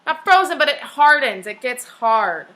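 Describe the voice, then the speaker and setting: A woman teaching young children in a classroom, reading aloud and instructing deliberately, with repeated questions and key phrases. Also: sits high, very animated, pushed and bright. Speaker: elementary school teacher. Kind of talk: classroom read-aloud lesson.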